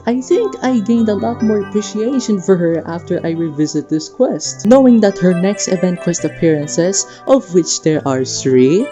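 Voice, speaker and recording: A woman giving casual, playful and enthusiastic fan commentary on a video game. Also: lowish voice. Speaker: video creator hosting a game discussion. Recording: music behind, slightly dull sound.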